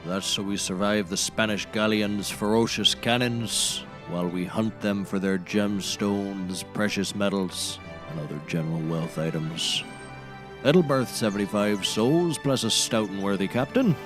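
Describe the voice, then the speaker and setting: A man's voice: on the low side, measured pace, music in the background, natural voice. An actor playing a grandiose woodsman obsessed with seafaring, declaiming nautical bombast in a scripted comedy. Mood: grandly eager. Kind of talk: scripted comedy audio acting.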